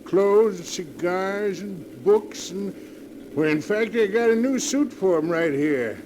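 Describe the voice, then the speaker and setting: A man's voice: sits high, expressive, takes it slowly, darkish tone. An actor playing a folksy, obliging but uneasy village delivery man with hesitant rustic speech in a 1940s radio drama. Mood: folksy, matter-of-fact. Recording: faintly noisy old 1940s radio recording.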